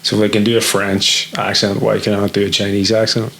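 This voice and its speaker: Laid-back co-host. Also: male voice, on the low side, little pitch movement, fast, a bit bright, a little hiss or hum. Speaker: podcast co-host. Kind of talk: casual banter. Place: podcast studio.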